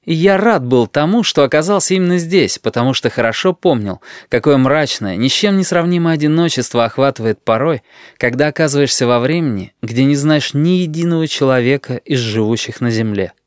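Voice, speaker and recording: A man calmly narrating a first-person audiobook in a measured storytelling manner. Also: medium pitch, no echo, clean studio sound.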